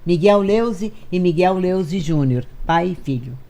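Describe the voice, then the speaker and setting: A woman's voice: on the low side, lively intonation, unhurried, mellow. An older Brazilian woman, a veteran radio actress, recollecting casually in an interview and repeating key phrases for emphasis.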